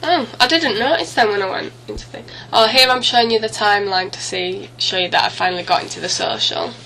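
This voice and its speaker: A woman's voice: medium pitch, fast talker, creaky, sharp tone, slight background noise, low-quality recording. A woman getting over a cold gives casual, rambling, apologetic let's play commentary, often correcting herself as she goes. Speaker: gaming video host. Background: music.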